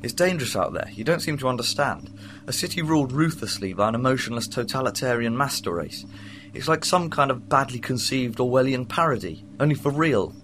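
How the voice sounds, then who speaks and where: A man's voice: mid-range voice, lively intonation, brisk, fairly bright tone, slight background noise, natural voice. A man playing a weary, wry time-traveling Doctor with dry, understated humor. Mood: gloomy. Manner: dry, gloomy, understated wit. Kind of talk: fan audio drama acting.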